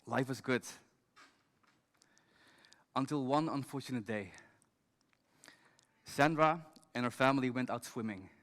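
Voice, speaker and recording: A man's voice: mid-range voice, a bit bright. Man telling a story in a hall. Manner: measured, scripted storytelling. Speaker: education director and conference speaker. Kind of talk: storytelling talk on stage. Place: large conference hall. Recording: long hall reverb.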